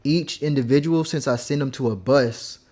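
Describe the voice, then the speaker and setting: A man's voice: medium pitch, moderate pace, warm tone. Laid-back young man explaining mixing. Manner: laid-back, rambling, heavy use of "like" and "um". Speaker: music producer teaching vocal mixing. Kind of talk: casual screen-recorded tutorial.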